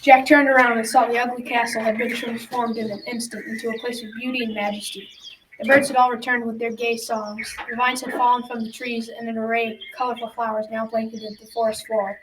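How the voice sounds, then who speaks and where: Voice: mid-range voice, little pitch movement, brisk, close to an everyday voice. A young teen playing a kindly grandfather who tells a folktale in a school radio drama. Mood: storytelling. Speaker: storyteller narrator. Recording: distant mic, clicks and faint noise.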